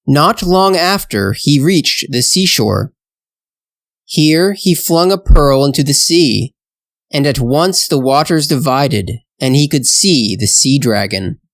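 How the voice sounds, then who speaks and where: A narrator reading a children's storybook tale for language learners in a slow, deliberate, measured way. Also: medium pitch, animated, no echo. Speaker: storyteller, English teacher.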